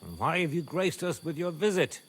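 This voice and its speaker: An actor playing a middle-aged medieval nobleman and father, speaking in a measured, wry and wary manner for a video game. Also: fairly high pitch, very animated, fairly bright tone, slightly rough, full character voice. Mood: courteous, wry. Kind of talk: video game cutscene acting.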